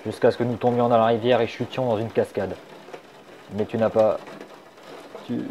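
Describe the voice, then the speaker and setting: A man voicing a sly, wisecracking young male fox in a fan dub of a comic, wry and teasing, turning soft and confiding. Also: fairly low pitch, fairly even intonation, normal speed, lightly acted.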